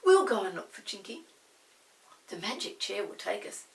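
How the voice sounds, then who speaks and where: A woman's voice: medium pitch, big pitch swings, normal speed, vocal fry, roomy sound, recorded from a distance. A woman voicing a kind, brave young boy, earnest and reassuring.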